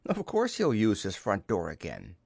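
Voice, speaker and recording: Storybook boy played by a male reader: young, kindly, practical, reassuring, lively intonation. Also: lowish voice, normal speed, vocal fry, slightly rough, dry recording, studio quality, heavily acted. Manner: gentle, reassuring.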